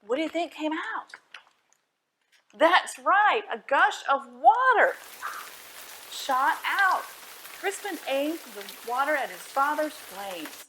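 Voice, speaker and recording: Woman, storytime reader, fairly high voice, very lively intonation, expressive delivery. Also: takes it slowly, long pauses, slight background noise, low-quality recording. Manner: expressive, asks listeners questions. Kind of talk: animated children's storybook reading.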